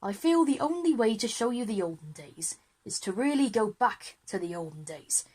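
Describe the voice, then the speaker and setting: Woman playing Brian, a flustered, husky male history teacher. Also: medium pitch, animated, normal speed, darkish tone. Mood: enthusiastic. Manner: eager, wordy lecturing. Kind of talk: children's audio drama acting.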